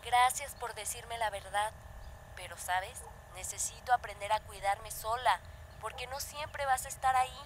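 A woman's voice: a little high, a little slow, slight background noise, close to an everyday voice, low-quality recording. An actress playing a determined, inquisitive young woman in a radio drama, earnest in manner. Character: determined, earnest teenage daughter and young community interviewer.

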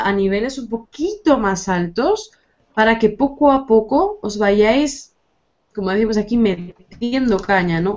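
A young woman with an accent from Spain, teaching a friendly online conversation class, encouraging and quick to laugh. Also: fairly high pitch. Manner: bubbly, encouraging, laughs often. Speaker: Spanish teacher. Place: small room at home. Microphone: webcam video call. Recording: dull lo-fi video call audio.

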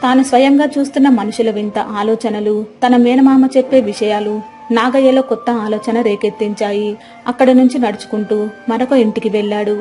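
A woman's voice: fairly high pitch, fairly even intonation, a little fast, warm tone, faint music behind. A woman narrating a scripted moral story as its storyteller.

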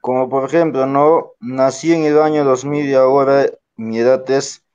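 A man's voice: mid-range voice, steady tone, normal speed, darkish tone, smooth, muffled recording. A man speaking as a student in an online class, hesitant and searching for words as he answers.

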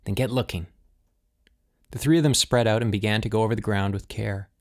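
A man's voice: on the low side, fast. A man reading scripted narration of a suspense story as an audiobook narrator.

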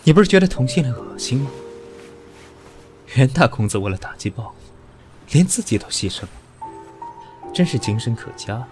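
An actor playing a proud, sarcastic senior executive who is weak from fever and answers in cold, cutting remarks. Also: male voice, mid-range voice, very animated, normal speed, long pauses, a little mellow, soft background music, close to an everyday voice. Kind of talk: radio drama acting.

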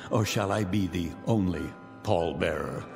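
An actor playing a wealthy, cynical man who calmly announces the end of the world from his bunker, with a smooth, menacing, deliberate delivery. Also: deep voice. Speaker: Mr. Raiden, bunker owner. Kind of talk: radio drama acting. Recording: long reverb with music beneath.

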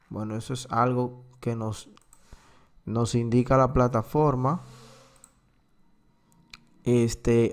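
A man who is a native Spanish speaker, slowly explaining an app on screen with many filler words. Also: mid-range voice, darkish tone. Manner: slow, filler-heavy explaining. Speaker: crypto platform reviewer. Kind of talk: screen-recorded walkthrough.

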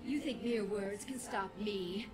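A woman's voice: medium pitch, measured pace, music in the background, close to an everyday voice. A woman playing an imperious, vengeful otherworldly warrior villainess in a video game, haughty and formal. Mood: threatening.

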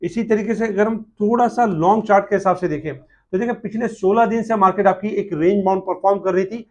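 Pakistani man in his thirties, market analyst, high voice, desk-camera sound in a small office. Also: quick pace. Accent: Pakistani Urdu. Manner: steady explanatory delivery with frequent "uh" fillers. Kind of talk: daily market update. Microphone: camera at desk distance.

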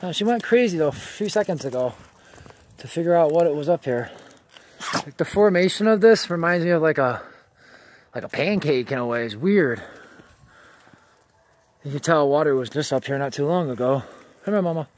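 A man's voice: a little high, animated, normal speed, long pauses, slight background noise. Man hiking, coaxing his dog outdoors. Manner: coaxing, repeatedly calling to his dog in a raised voice. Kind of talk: casual running commentary while hiking. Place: outdoors on rocky canyon slopes. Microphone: handheld camera held while climbing.

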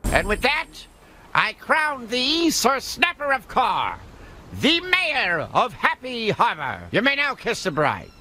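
Tiny mischievous imp, super high pitched and all over the place, cheeky game cartoon. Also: male voice, huge pitch swings, takes it slowly, pushed and bright, a little hiss or hum, full character voice. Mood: gleeful showmanship. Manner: theatrical exclamations and cheeky wordplay. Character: mischievous imp trickster from another dimension, small, excitable, wisecracking. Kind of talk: animated video game cutscene acting.

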